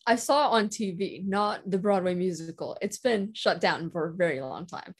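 A teenage girl answering interview questions over a video call, soft-spoken and hesitant, with frequent ums. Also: lowish voice, normal speed, husky. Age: teens. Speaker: guest. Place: indoors at home.

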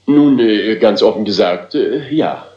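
Pompous troupe director: middle-aged, theatrical, excitable, very animated pitch, roomy sound. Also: male voice, a little slow, muffled recording. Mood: oily, genial. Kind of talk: German dubbed crime drama acting.

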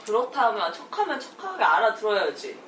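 A woman's voice: medium pitch, very animated, a little fast, a crowd in the background. A young woman singer teasing a bandmate in a backstage dressing room, giggling often, recorded by a distant camera in a roomy space. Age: young adult. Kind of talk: playful teasing banter.

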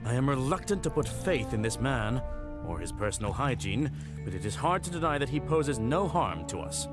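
An actor playing a dutiful, sensible knight retainer in a fantasy game, speaking formally and courteously with a dry manner. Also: male voice, mid-range voice, big pitch swings, moderate pace, over music, lightly acted. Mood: wary, courteous. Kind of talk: fantasy video game voice acting.

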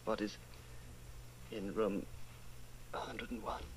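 An actor playing a broken, pleading prisoner under torture in an old-time radio drama, anguished and faltering. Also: male voice, fairly low pitch, fairly even intonation, takes it slowly, slight background noise, low-quality recording. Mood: weak, broken.

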